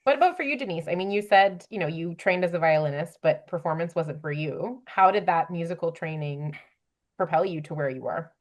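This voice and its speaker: A woman with a very low voice moderates a panel. She is conversational and chatty, working her way through long, wordy questions for the guests. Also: fairly quick.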